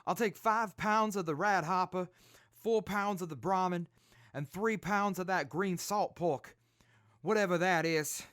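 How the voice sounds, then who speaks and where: A man playing a decisive wasteland traveler in an audio drama, brisk and businesslike as he buys supplies. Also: high voice, a bit bright, dry recording, clean studio sound. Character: decisive male wasteland traveler, the group's buyer. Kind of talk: post-apocalyptic audio drama acting.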